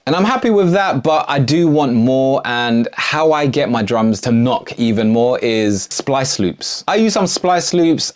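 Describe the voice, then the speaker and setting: A man presenting a casual music production tutorial in an enthusiastic, slangy way. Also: mid-range voice. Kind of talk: casual video tutorial narration.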